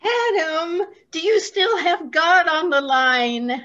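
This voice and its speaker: A woman's voice: very high-pitched, animated, slow pace, sharp tone, full character voice. A woman playing an eager, thankful interrupter in readers' theatre over a muffled video call. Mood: eager, emotional.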